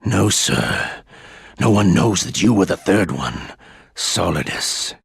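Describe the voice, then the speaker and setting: An actor playing a sly, smugly deferential double agent in a video game, speaking in a measured, husky drawl. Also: male voice, low voice, big pitch swings, dry recording, clean studio sound, heavily acted. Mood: sly, gloating. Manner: measured, obsequious, insinuating. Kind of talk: video game voice acting, one side of a phone report.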